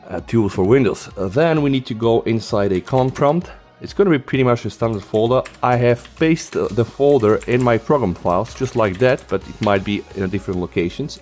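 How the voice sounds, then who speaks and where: A man's voice: on the low side, darkish tone. Male tech tutorial host over background music. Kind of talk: casual step-by-step screen tutorial narration.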